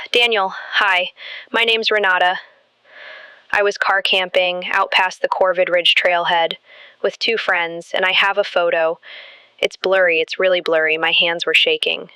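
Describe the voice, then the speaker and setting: Woman playing anxious camper, bright clear voice. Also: lowish voice, flat delivery, measured pace, no echo. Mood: nervous. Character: shaken young female camper with troubling news. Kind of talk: radio drama, call-in show caller.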